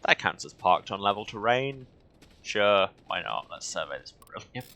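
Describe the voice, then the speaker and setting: A man's voice: on the low side, very animated, normal speed, bright, forceful tone. A man giving casual video game playthrough commentary in a dry, sardonic, self-deprecating manner.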